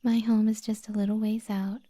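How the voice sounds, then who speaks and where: A woman playing a kind, flirty witch healer in a soft-spoken, half-whispered ASMR roleplay, slow, soothing and gently teasing. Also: medium pitch, flat delivery, close to an everyday voice. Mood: soothing, caring.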